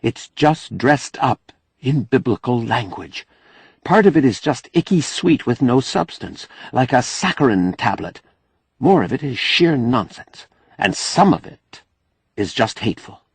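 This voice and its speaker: A man's voice: medium pitch, big pitch swings, a little slow, husky, no room sound, pitched above the performer's own voice, full character voice. A man voicing a spirited, outspoken young woman in an audiobook, earnest and challenging in manner. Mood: indignant. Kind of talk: audiobook character dialogue.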